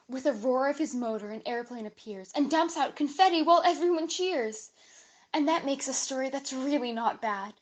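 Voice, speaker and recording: A woman giving a dramatic, expressive reading of a rhyming children's story. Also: high voice. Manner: expressive, theatrical storybook delivery. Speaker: guest reader, camp staff member.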